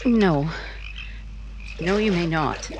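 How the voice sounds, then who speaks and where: A woman playing a proud, teasing, guarded young woman in an old-time radio romance, with a coy, measured delivery. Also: lowish voice, noisy background. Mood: coy, cool.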